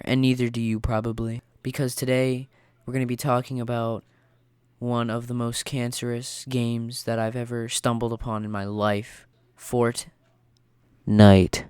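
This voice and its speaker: A man with a blocked-up nose rambles through an opinionated rant, full of fillers and restarts. His voice sits at an average pitch and comes across smooth in a dry, close recording. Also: no echo. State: stuffy nose from a cold. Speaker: YouTuber. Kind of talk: casual ranting commentary.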